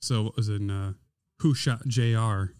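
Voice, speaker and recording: A man with a naturally deep, smooth voice chats casually on a podcast, drifting through stories with plenty of fillers. The studio mic sounds close and dry, with no room around it. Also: fairly even intonation, measured pace, a little mellow, no echo. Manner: easygoing, rambling with fillers. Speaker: podcast co-host. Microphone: podcast studio microphone.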